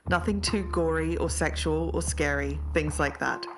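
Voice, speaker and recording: A woman playing a measured, sceptical co-host of an investigative podcast. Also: deep voice, music in the background. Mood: explanatory.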